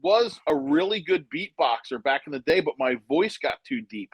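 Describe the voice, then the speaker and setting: A man's voice: a little high, normal speed, breathy edge, dry recording. Joking man in his fifties telling stories. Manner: joking, rambling storyteller, speeds up when excited. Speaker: guest. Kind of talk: casual chat, storytelling.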